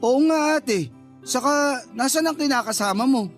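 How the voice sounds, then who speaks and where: Casting: cheeky young kid brother, light high male voice, taunting, faint music behind. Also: expressive, normal speed. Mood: questioning, needling. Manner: taunting, questioning. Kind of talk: radio drama acting.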